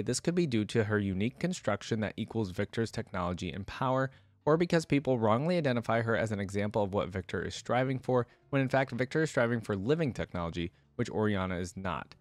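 A man's voice: medium pitch, dry recording. A young man giving casual reaction commentary, reading aloud and musing conversationally into a close desk microphone. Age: young adult. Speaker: reaction video host. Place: indoor room at a computer desk. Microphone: close desk microphone with headset on.

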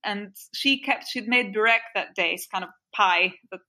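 A woman in her forties from Albania speaks with a clear, bright tone. She tells her memories thoughtfully and unhurriedly, pausing to correct herself mid-phrase. Her voice sits at an ordinary middle pitch. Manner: thoughtful, recalls memories with small restarts. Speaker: guest. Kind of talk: reflective interview storytelling.

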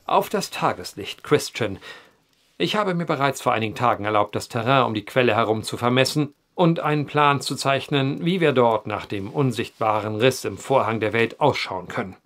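An actor playing an earnest, determined gentleman writer in a German audio drama, speaking with precise, old-fashioned eloquence. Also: mid-range voice, lively intonation, moderate pace. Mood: determined, explanatory.

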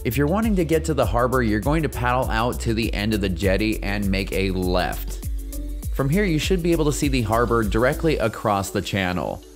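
A man's voice: on the low side, lively intonation, fairly quick. A man narrating a scripted kayaking travel vlog as a voice-over, giving friendly, informative tour-guide directions. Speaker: kayaking vlogger. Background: soft background music.

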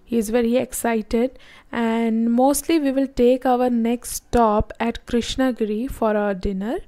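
A woman's voice: a little high, steady tone, a little slow, warm tone, no echo. Woman narrating a road trip. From India. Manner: plain, informative, addresses viewers directly. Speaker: travel vlogger.

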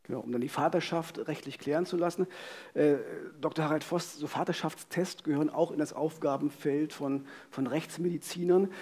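Male true-crime host, middle-aged, husky and a touch high, storytelling live on stage. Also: a little fast, a little mellow. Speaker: podcast co-host. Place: on stage in a vaulted hall with audience.

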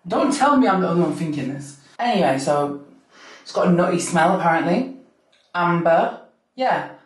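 A young woman with a deep voice, chatting to camera at home in a gushing, giggly way. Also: expressive, moderate pace. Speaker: vlogger. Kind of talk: casual chat to camera. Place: home bathroom at night, then a bright kitchen. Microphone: camera on a low stand. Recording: echoey room, camera a bit far.

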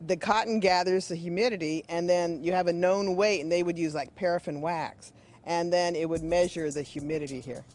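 A woman's voice: on the low side, fairly even intonation, brisk, darkish tone. A middle-aged woman museum guide answering a TV reporter's questions in a friendly, explanatory way inside a large hall. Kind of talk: interview answers. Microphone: reporter's handheld mic.

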